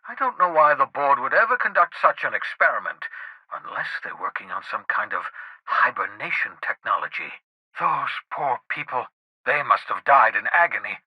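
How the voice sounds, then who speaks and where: An actor playing an eccentric, righteous outlaw scientist in a video game, theatrical and erudite, swinging between scorn and glee in a raspy voice. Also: male voice, mid-range voice, big pitch swings, normal speed, no echo, lo-fi sound. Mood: troubled. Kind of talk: video game character dialogue.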